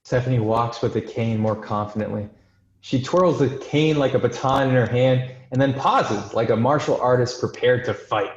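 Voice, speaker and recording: A man's voice: medium pitch, normal speed. A man narrating screenplay stage directions in scripted narration for a table read. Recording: echoey room, dull video call sound.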